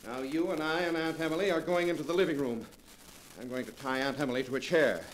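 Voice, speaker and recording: A man's voice: on the high side, fast talker, long reverb tail, close to an everyday voice. Ruthless, greedy villain played by a man. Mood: smooth menace. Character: greedy, ruthless, impatient murdering nephew. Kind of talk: 1940s radio acting. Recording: noisy 1940s radio recording.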